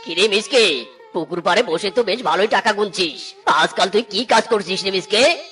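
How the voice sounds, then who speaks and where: A man's voice: sits high, normal speed, bright, forceful tone. A man voicing an aging tiger uncle, an earnest, folksy chilli farmer in a Bengali cartoon, given to exclamations. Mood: troubled. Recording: music bed under the voice. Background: background music.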